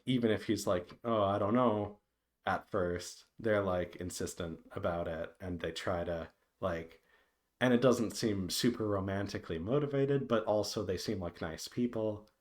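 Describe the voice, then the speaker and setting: Man, lowish voice, very slow pace, casual devlog update, hesitant, meandering, halting pauses. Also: a little mellow. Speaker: visual novel developer giving a devlog update. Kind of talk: casual unscripted update to viewers.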